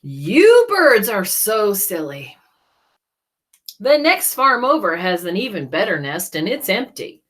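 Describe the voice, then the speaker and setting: A woman voicing a scheming storybook animal who is loud, bossy and persuasive toward nesting birds. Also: mid-range voice, very animated, slow pace, full character voice. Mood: scornful persuasion.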